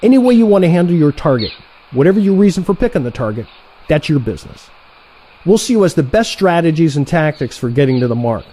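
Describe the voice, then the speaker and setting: Casting: male actor for brash younger assassin, audio drama, soft-edged timbre, very animated intonation, needling and sarcastic. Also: a little high, quick pace. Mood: challenging. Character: excitable, cocky younger assassin, male trainee turned rival. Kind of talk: audio play dialogue.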